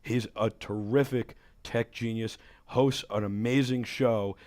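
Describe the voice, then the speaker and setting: A man in his thirties hosting a talk show in a studio, hoarse from a cold, talking with upbeat energy into a headset mic. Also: medium pitch, a little slow. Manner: energetic, enthusiastic promo patter. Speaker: host. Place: studio with city backdrop.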